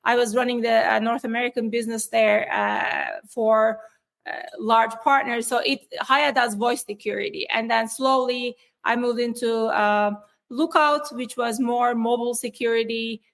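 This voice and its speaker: A woman with a Turkish accent talks through her work and the technology her company offers. Her voice sits a little high for a woman, and she explains things patiently, often stopping on fillers and starting sentences again. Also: fairly even intonation, normal speed, gravelly. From Turkey. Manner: explanatory, many fillers and restarts. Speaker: cybersecurity executive guest. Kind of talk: interview answers.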